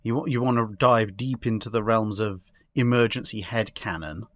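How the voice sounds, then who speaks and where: A man's voice: on the low side, moderate pace, dry recording. A man chatting casually as a guest on a fan discussion show, building long, jokey speculative explanations with stumbling starts.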